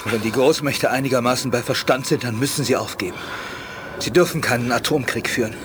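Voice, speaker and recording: Loud vehicle noise surrounds a male actor as a gruff army lieutenant. He is excitable and scornful, with a mid-pitched voice, giving orders in the heightened style of a 1980s radio play. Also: fast talker, close to an everyday voice. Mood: shaken. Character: gruff, agitated army lieutenant barking at his subordinate. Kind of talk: 1980s German radio drama acting.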